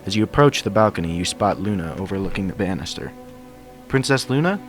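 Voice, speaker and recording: A man's voice: deep voice, steady tone, quick pace. A man reading a scripted fan fiction story as its narrator. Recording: soundtrack music behind the voice. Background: game soundtrack music.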